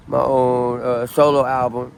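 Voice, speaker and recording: A man in his late thirties from Southern California talks outdoors in a casual street interview. His pitch stays level and his delivery wanders with restarts and fillers. Faint city noise sits behind him. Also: a little slow, vocal fry. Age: 30s. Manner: relaxed, rambling, many ums and restarts.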